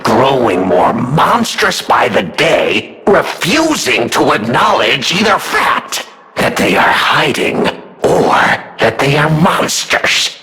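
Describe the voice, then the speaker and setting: A man playing a sadistic killer puppet, the self-styled king of riddles, in a video game, with a gravelly voice and a mocking, gleefully dramatic delivery. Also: mid-range voice, bright, forceful tone, full character voice, rough recording. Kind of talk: theatrical video game villain monologue.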